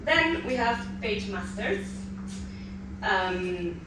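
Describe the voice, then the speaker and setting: Nervous, halting woman panel moderator in her thirties; distant mic, big echoey room. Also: mid-range voice, lively intonation. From Peru. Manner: hesitant, many ums and restarts. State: a bit nervous. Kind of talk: live panel introduction. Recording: echoey room from far off with noise.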